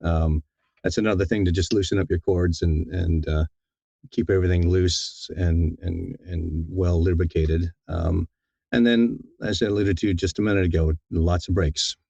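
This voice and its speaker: A man's voice: sits low, steady tone, moderate pace, vocal fry, dark tone, breathy edge, no room sound, highs cut off. A man being interviewed as an audiobook narrator, talking casually and thoughtfully, often repeating words as he feels his way along.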